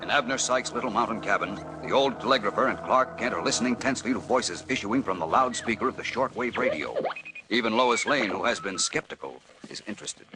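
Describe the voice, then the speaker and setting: A man's voice: fairly low pitch, expressive, a little fast, over loud music, low-quality recording. A man narrating an old radio serial as announcer, suspenseful and emphatic, on a vintage broadcast recording.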